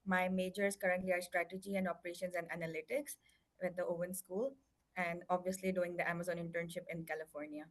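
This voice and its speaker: A woman's voice: fairly low pitch, level tone, quick pace. A young woman from Pakistan introducing herself as a student panelist in a matter-of-fact way. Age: young adult.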